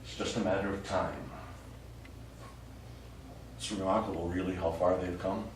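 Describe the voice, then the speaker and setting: A man's voice: deep voice, fairly even intonation, moderate pace, natural voice. A man on stage playing a wry, wistful astronaut who is secretly an alien with a scheme. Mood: musing, quietly satisfied. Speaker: stage actor in a one-act play. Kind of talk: live stage drama monologue. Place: small indoor studio theatre stage. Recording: echoey small theatre, distant mic, faint noise.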